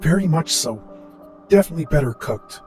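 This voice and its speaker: A man voicing a strange, eager lost wanderer who speaks in an overly polite, halting way, in a storytelling narration. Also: fairly high pitch, lively intonation, a little mellow, faint music behind, voice pushed up, close to an everyday voice.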